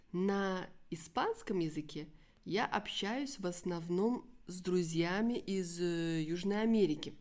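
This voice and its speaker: A woman language teacher with a native Russian accent and a lowish voice. She speaks very slowly in an educational monologue, articulating each phrase carefully for learners. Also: a little mellow. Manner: careful articulation, explains words in simple synonyms.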